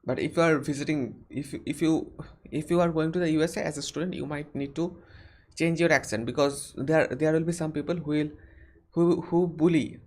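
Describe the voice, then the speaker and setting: A young man with an Indian accent chats loosely through a live Q&A stream. His voice sits a touch high, and he strings his thoughts together with plenty of fillers and false starts. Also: fairly even intonation, fairly quick, a little mellow. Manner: rambling, many fillers and restarts. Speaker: livestream host answering viewer questions. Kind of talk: casual live Q&A chat.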